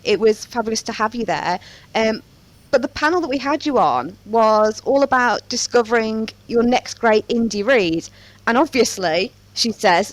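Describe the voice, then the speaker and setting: A woman's voice: a little high, dry recording. A woman hosting a podcast, chatting casually in a playful, self-aware way. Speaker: podcast host.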